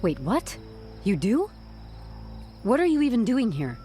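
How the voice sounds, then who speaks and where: A woman's voice: a little high, huge pitch swings, a little slow, mellow, faint music behind. A woman playing a wry, flustered office employee in an audio drama, informal and sarcastic. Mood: startled.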